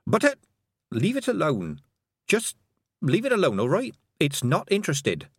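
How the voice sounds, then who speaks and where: A man's voice: fairly high pitch, huge pitch swings, unhurried, slightly rough, no room sound, clean studio sound, voice pushed up, full character voice. Man playing a determined young girl witch. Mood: earnest.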